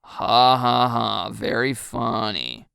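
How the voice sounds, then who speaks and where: A woman's voice: rumbling low, expressive, slow pace, creaky, a bit bright, clear, resonant tone, dry recording, clean studio sound, full character voice. A woman voicing an exasperated, embarrassed teenage boy in an audiobook-style story dub, sulky, sarcastic and complaining. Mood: sour.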